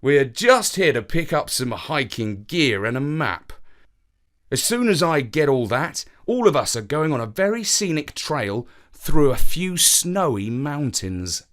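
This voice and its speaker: A man's voice: a little high, very animated, a little slow, a bit bright. A man voicing a friendly, helpful host and guide in a children's story, explaining cheerfully.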